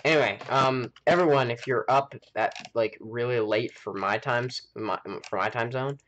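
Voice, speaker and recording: A man's voice: medium pitch, normal speed, fairly bright tone, dull audio. An American man hosting a game live stream, chatting casually and rambling as he thinks aloud. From United States. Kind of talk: casual chat while playing a game.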